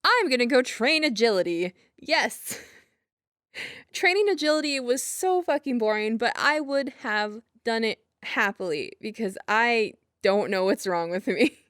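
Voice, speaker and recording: A woman's voice: on the high side, expressive, measured pace, a bit bright, no echo, studio quality. A young woman in an intimate roleplay monologue, rambling casually as a girlfriend in a giggly, hesitant, self-deprecating way. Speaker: audio roleplay performer as girlfriend.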